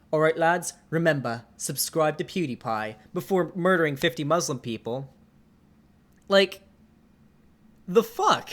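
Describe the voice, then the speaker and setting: A young man in his twenties talks straight into a desk mic during a live stream, his voice sitting a little high for a man. He comments casually and breaks into exasperated, swearing outbursts. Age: 20s. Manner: swearing, animated and exasperated, with laughs.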